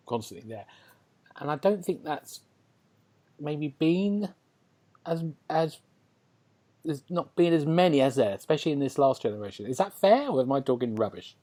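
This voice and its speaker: A man's voice: a little high, sing-song, moderate pace, long pauses, warm tone. A man hosting a casual gaming podcast, rambling and thinking aloud, often seeking agreement. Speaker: podcast host.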